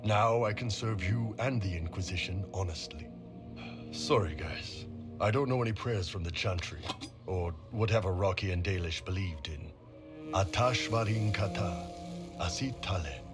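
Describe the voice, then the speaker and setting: Guy doing a big gruff game mercenary boss, really low voice, sardonic but loyal, music under it. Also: normal speed, close to an everyday voice. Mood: solemn, mourning. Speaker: mercenary leader. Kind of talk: video game cutscene acting. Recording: music behind the voice.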